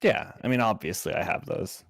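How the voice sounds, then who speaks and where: A man's voice: fairly low pitch, expressive, normal speed, vocal fry, no echo, studio quality. Wry man playing a tabletop game. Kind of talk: casual game-table chat.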